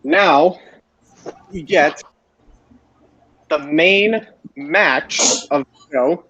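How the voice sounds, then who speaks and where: Higher-voiced man recapping, roomy lo-fi sound. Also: very slow, a bit bright. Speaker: podcast host. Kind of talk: casual wrestling show recap. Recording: roomy, dull lo-fi voice chat.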